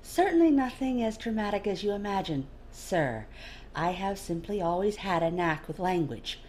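A woman's voice: medium pitch, lively intonation, normal speed, a little mellow, a little hiss or hum, natural voice. A woman voicing a guarded female linguist in a story, clipped and politely dry, feigning meekness. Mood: dryly polite.

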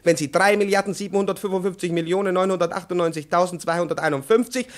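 A man's voice: high-pitched, fast, close to an everyday voice. Guy acting a stuffy irritable old-school professor, pedantic lecturing, fifties radio drama. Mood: stern lecturing. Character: pompous, irritable male private professor. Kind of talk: 1950s German radio play acting.

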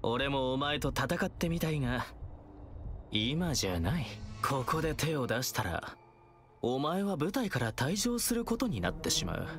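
Theatrical, taunting game villain, swooping pitch. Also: male voice, big pitch swings, a bit bright, soft background music. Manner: theatrical, teasing, drawn-out menacing delivery. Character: taunting, theatrical young male villain, playful and menacing. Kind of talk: video game voice acting.

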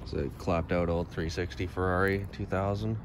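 A man's voice: deep voice, flat delivery, moderate pace. A man giving casual, clipped commentary on cars as he films them outdoors on a handheld phone. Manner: terse, clipped remarks. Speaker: car auction vlogger. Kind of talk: casual car walkaround commentary. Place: outdoor car lot. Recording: noisy outdoor recording, ambient vehicle sounds.

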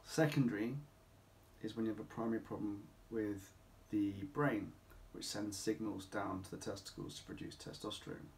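A middle-aged man speaking as a clinic doctor, explaining to camera in an emphatic, deliberate way with rhetorical questions. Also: fairly low pitch, fairly even intonation, creaky, a little mellow, a little hiss or hum. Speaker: doctor at a men's health clinic. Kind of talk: informal explainer talk to camera. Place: small home office or consulting room, indoors.

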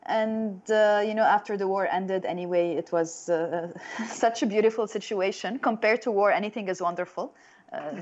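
A woman's voice: lowish voice, dull audio. A woman in her thirties from Lebanon, with a Lebanese accent, telling her own story as an interview guest. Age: 30s.

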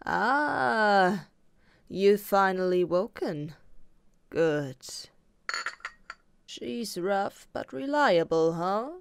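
A woman voicing a composed female doctor in a manga dub, calm and matter-of-fact. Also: mid-range voice, extremely slow, pauses often, exaggerated voice. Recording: clearly noisy, clicky microphone recording.

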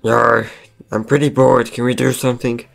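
A man's voice: medium pitch, little pitch movement, normal speed, vocal fry, faint music behind. A man voicing a bored, pleading animatronic pirate fox in a cartoon skit, with hesitant delivery.

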